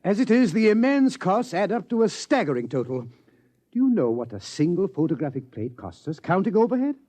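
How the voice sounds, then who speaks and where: A man's voice: high-pitched, sing-song, brisk, dark tone. An actor playing a formal, fussy male observatory director in a 1950s radio drama. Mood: pompous. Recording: muffled vintage radio recording.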